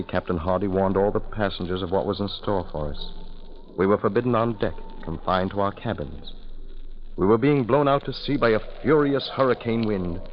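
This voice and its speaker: A man's voice: on the low side, natural voice. An actor playing a male ship passenger in a 1940s radio horror drama, telling and living his tale with dramatic, urgent gravity. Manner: dramatic, grave in narration, urgent in dialogue. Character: alarmed male ship passenger recounting a terrifying voyage. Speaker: narrator and lead character. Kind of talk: 1940s radio acting, first-person horror narration. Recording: muffled, noisy old 1940s radio recording.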